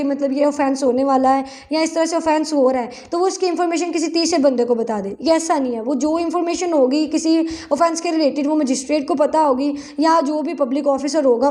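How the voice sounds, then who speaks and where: A woman with a Pakistani accent speaks in a distinctly high-pitched voice. She lectures like a teacher addressing students, reading formal text aloud and then explaining it. Her delivery is instructional, often restating a point in simpler words. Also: mellow. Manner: instructional, frequently rephrasing to clarify. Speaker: law lecturer, advocate.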